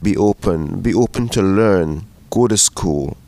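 A middle-aged man from Trinidad and Tobago, speaking in an earnest, unhurried, plain-spoken way about his own life in a personal interview. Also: low-pitched, lively intonation, gravelly, warm tone, no room sound. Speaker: church facilities worker.

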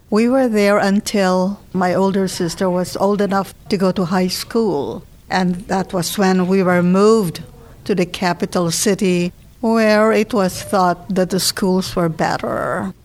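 A woman in her seventies from the Philippines, with a Filipino accent, telling her life story in a measured, reflective way. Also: mid-range voice, darkish tone. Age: 70s. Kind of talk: oral history personal narration.

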